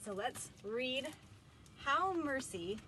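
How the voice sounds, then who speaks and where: A woman reading a children's storybook aloud with commentary, in an expressive, emphatic storytelling manner. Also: sits high, takes it slowly, background noise. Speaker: storybook reader.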